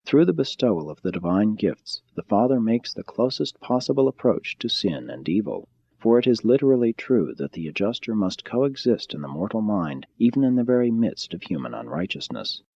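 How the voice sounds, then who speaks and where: A man reading a spiritual audiobook in a measured, solemn and formal manner. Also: fairly low pitch, lively intonation, creaky, dark tone, slightly rough, muffled recording. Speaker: audiobook narrator. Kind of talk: scripted audiobook narration of a spiritual text.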